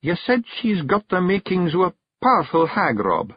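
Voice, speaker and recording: A man's voice: sits high, huge pitch swings, husky, no room sound, muffled recording, voice pushed up, full character voice. A man voicing a sweetly menacing Scottish fairy wife. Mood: sweetly stern. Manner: sweet, measured and quietly menacing. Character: shrewd young fairy wife and clan mother.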